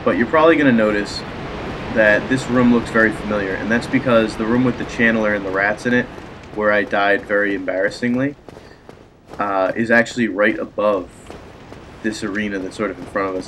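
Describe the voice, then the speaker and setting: Stuffy-voiced man commenting on a game. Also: lowish voice. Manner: chatty, rambling, explains as he plays. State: stuffy from a cold. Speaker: let's play host. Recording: steady background noise.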